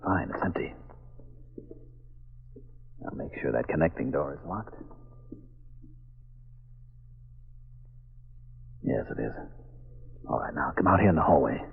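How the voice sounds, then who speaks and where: An actor playing a tough, cocky sleuth in a 1940s radio mystery, with a clipped, commanding way of talking. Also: male voice, rumbling low, lively intonation, moderate pace, vocal fry, pauses often, slight background noise, full character voice. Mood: hushed, urgent. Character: tough, cocky male amateur sleuth husband. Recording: dull, lo-fi old radio recording.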